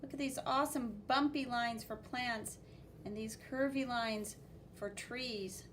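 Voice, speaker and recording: A woman reading a picture book aloud to children as a teacher, expressive and exclaiming, with spoken sound effects and commentary. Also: fairly high pitch, unhurried, background noise.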